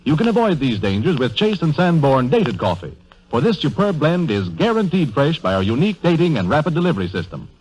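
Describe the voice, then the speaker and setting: A man's voice: a little high, very animated, brisk, a little mellow, slightly rough, faint music behind, low-quality recording. An American man announcing a scripted 1930s radio advertisement in an emphatic, salesmanlike way. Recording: vintage 1930s radio broadcast.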